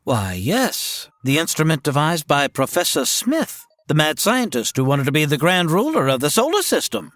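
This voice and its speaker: A man's voice: a little high, huge pitch swings, moderate pace, hardly any pauses, fairly bright tone, dry recording, clean studio sound, heavily acted. An actor playing a fussy, fretful older male scientist in a comedy audio drama.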